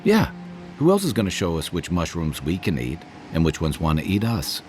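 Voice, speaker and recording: Male game companion: big-hearted rogue, deep register, animated, playful banter. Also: normal speed. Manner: teasing, playful, easygoing. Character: jovial, roguish, warm-hearted male adventuring companion. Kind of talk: conversational video game voice acting. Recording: voice over game music.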